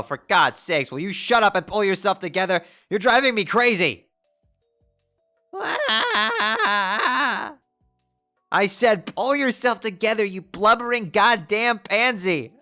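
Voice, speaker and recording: A performer voicing a fierce teenage girl who scolds and yells in exasperation. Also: mid-range voice, big pitch swings, a little slow, soft background music, heavily acted. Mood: furious.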